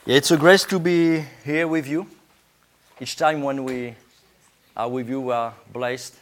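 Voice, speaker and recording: A man with a French accent, a native French speaker, preaching informally in halting English as a guest preacher. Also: medium pitch, steady tone. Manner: halting, searching for words in English. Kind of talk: informal sermon to a congregation.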